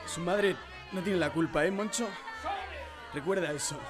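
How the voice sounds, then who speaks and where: An actor playing an anguished father who forces himself to speak out loudly and emphatically in a radio drama. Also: high-pitched, a little slow. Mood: anguished urging. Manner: loud, strained, emphatic. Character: anguished father forcing himself to hurl insults. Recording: loud music and crowd behind. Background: music and outdoor crowd.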